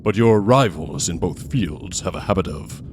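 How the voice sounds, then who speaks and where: An actor playing a dry, menacing studio fixer who interrogates in clipped, deliberate audio drama style. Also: male voice, sits low, lively intonation, a little hiss or hum. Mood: dry, accusing. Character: menacing studio fixer investigating murders, dry adult man.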